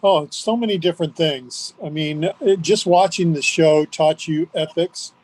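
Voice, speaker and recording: Higher-pitched older man, dry close sound. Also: moderate pace, a little mellow, no room sound, rough recording. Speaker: interview guest. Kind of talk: reminiscing in an interview.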